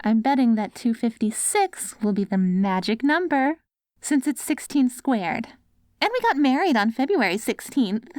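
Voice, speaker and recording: Casting: woman to play doting postapocalyptic wife for ASMR roleplay, higher-pitched voice, sweet and patient coaxing, slow delivery. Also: big pitch swings, a little mellow, no room sound, clean studio sound. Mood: fond, chatty. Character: doting wife caring for her ghoul husband after apocalypse. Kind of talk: ASMR roleplay audio drama.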